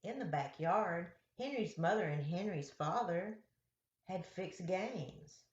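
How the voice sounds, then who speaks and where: A woman reading picture books aloud to young children as a storyteller, with deliberate, simple phrasing. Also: lowish voice, lively intonation. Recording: roomy, echoey, dull lo-fi sound.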